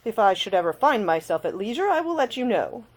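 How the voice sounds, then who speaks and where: Woman voicing a suave, teasing gentleman rogue, lively intonation. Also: mid-range voice, fairly quick, pitched above the performer's own voice. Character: cheery roguish idle gentleman, large, suave and teasing. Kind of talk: audiobook character dialogue.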